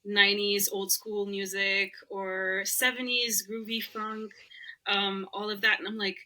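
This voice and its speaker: Bright-voiced woman, chatty guest. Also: mid-range voice, little pitch movement, unhurried, poor audio. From Lebanon. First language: Arabic. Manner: chatty, laughs often, many "like" fillers. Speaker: podcast guest. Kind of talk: casual interview chat.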